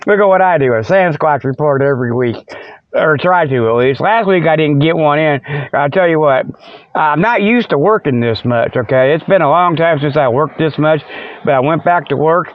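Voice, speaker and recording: A man's voice: fairly high pitch, moderate pace, no echo. A middle-aged American man hosting a podcast, delivering a folksy, colloquial, rambling comedic monologue as casual chat. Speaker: podcast host. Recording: slightly dull lo-fi, dry sound.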